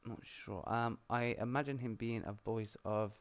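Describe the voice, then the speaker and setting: A British man hosts a relaxed gaming livestream, chatting with friends and reading out donations. His tone is upbeat and conversational, with a middle-pitched voice. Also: normal speed. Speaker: livestream host. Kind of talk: casual gaming chat.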